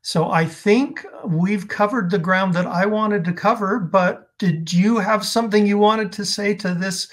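A man's voice: high voice, fairly even intonation, a little slow, few pauses. A man hosting an interview over a video call, asking questions and politely wrapping up. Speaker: interviewer host. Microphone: video call webcam.

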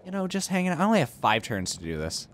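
A man's voice: fairly high pitch, huge pitch swings, fast, a little hiss or hum. A young man commenting casually on a strategy game he is playing, joking around and arguing with himself. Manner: goofy, argues with himself in silly voices. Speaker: let's play host.

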